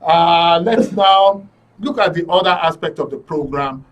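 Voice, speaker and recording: A man's voice: on the high side, unhurried, recorded from a distance. A man with a Nigerian accent hosting a live political discussion, arguing emphatically and repeating words for stress. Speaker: talk show host. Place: radio and TV studio.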